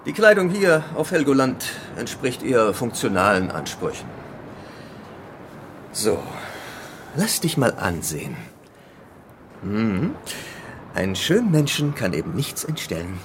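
An actor playing a calm, controlling leader of island survivors in a radio drama, speaking with measured, patronizing authority. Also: male voice, lowish voice, noisy background. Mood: smooth, patronizing. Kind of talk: German radio drama acting.

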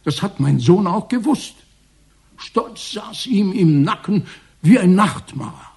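An old former mayor in a radio drama, embittered and mourning. His voice sits fairly high and sounds husky and muted. He declaims grandly, as if every grievance were a lament. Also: animated, slow, a little mellow, full character voice. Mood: bitter grief. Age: older. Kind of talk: German radio crime drama acting.

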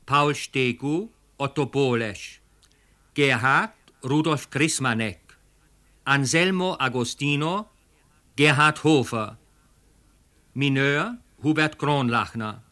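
A man's voice: medium pitch, takes it slowly, bright, forceful tone. A man reading scripted credits formally as a radio announcer.

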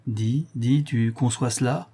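A husky, darkly tinted voice of a blind old killer, as a male reader puts him on. He broods in an intense, hushed monologue, threat and torment in every phrase. A classic storybook villain, heard in audiobook form. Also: mid-range voice, slow pace. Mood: brooding menace. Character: blind brutal old male murderer, haunted and vengeful. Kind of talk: audiobook reading of a classic novel.

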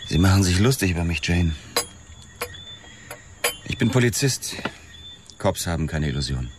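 A man's voice: sits low, big pitch swings, normal speed, noisy background. An actor playing a laconic, wistful policeman in his thirties, an ex-boxer, with terse, dry delivery in a radio drama. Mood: guarded. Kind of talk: radio drama dialogue and first-person narration.

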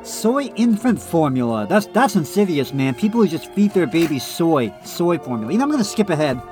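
A man's voice: on the high side, big pitch swings, fairly quick, darkish tone, soft background music. A man on a casual podcast with a slightly nasal voice, reading a text aloud and breaking off into blunt remarks of his own. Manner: reads passages then adds blunt off-the-cuff remarks. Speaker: podcast co-host.

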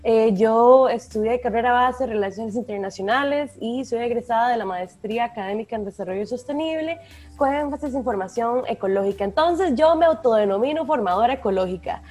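A woman with a Costa Rican Spanish accent co-hosting a podcast. She speaks warmly and with enthusiasm. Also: on the high side, brisk. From Costa Rica. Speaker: podcast co-host, environmental educator. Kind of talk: casual podcast chat.

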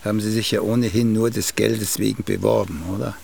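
An actor playing a vain, condescending older playwright in a radio comedy, with a gravelly, husky voice and a drawn-out, self-important manner. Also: male voice, lowish voice, fairly even intonation, darkish tone, slight background noise. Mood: needling, probing. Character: vain, aging celebrated playwright, condescending and touchy. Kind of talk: literary comedy radio play acting.

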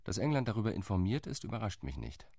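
A measured male diplomat in a radio play, calculating and reserved, his voice low and deep, as an actor plays him. He reports in an even, sober tone. The studio sound is close and dry. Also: breathy edge, no echo, full character voice. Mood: reporting. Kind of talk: radio drama monologue.

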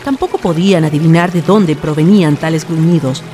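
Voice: mid-range voice, brisk, warm tone. Formal storyteller over music. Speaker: narrator. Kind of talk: scripted literary horror-story narration. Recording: music behind the voice.

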